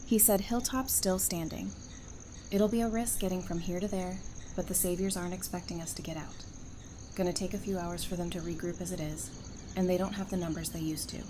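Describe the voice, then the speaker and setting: Actress as gutsy practical survivor gal in an audio drama, lower voice, noisy ambience behind. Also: female voice, fairly even intonation, a little fast, close to an everyday voice. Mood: resolute, practical. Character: brave, practical young woman survivor, dryly warm. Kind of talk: fan audio drama acting.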